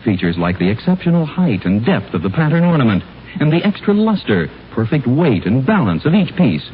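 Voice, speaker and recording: A man working as a radio announcer and sponsor pitchman, with a folksy, polished 1940s advertising delivery. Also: very animated, a little fast, phone-quality sound. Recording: thin, noisy old radio recording.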